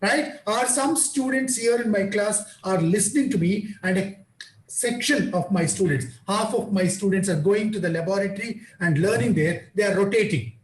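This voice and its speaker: A man's voice: high-pitched, normal speed. Indian man lecturing in an echoey room. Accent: Indian English. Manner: explanatory, repeats parallel phrases. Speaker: guest lecturer. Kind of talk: answering questions at an online conference.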